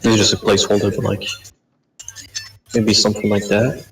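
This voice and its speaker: Gravelly guy chatting casually on a stream, sounds far from the mic. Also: fairly low pitch, little pitch movement, normal speed, a bit bright. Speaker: stream participant. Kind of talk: casual chat. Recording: distant mic with faint room noise.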